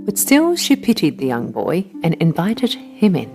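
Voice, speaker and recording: A woman's voice: fairly low pitch, wildly swooping pitch, normal speed. A woman narrating a scripted children's story as a storyteller. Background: background music.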